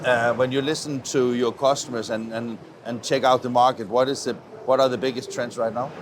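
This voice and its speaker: A man's voice: mid-range voice, little pitch movement, fairly quick. A man interviewing on camera at a trade show, with hesitant, conversational phrasing. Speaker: interviewer for a web TV channel. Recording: faint background noise.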